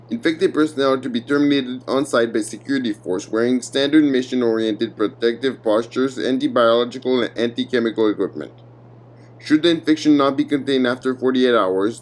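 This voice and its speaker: A man giving a formal, measured scripted narration of a clinical document. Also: medium pitch, darkish tone.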